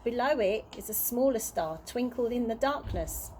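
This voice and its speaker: A woman with a fairly high voice reads a children's story aloud. She tells it expressively, with the odd small stumble. There is some background noise on the recording. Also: animated, normal speed, darkish tone. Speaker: early years practitioner reading stories.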